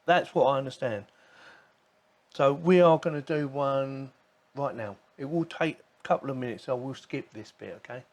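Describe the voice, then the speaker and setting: Male reviewer, medium pitch, casual and rambling, talking through an unboxing as he goes. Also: moderate pace, darkish tone. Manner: informal, rambling, thinking aloud. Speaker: host of a tech review channel.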